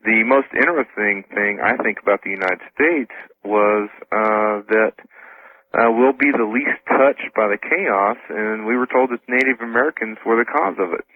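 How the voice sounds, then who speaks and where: A man with a low voice talks as a guest on a radio show. He explains things in a casual, halting, informal way over a tinny, phone-like connection. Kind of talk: casual interview talk.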